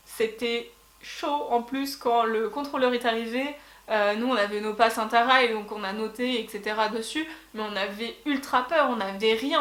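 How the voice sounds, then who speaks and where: A woman's voice: a little high, fairly bright tone. A young woman vlogger telling a travel story in a casual chat, with many fillers and restarts. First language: French. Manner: chatty, lots of fillers and restarts. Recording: echoey room.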